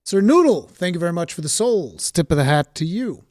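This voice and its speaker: A young man from Portugal hosting a game livestream, talking casually and excitedly and thanking viewers as he goes. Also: high voice, a little fast, no echo, clean studio sound. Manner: excited, chatty, thanks viewers with a set phrase. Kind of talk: casual live commentary.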